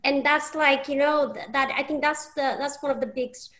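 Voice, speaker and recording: A woman's voice: sits high, flat delivery, brisk, a bit bright. A young English woman, a podcast guest, talking casually with many restarts and fillers. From England.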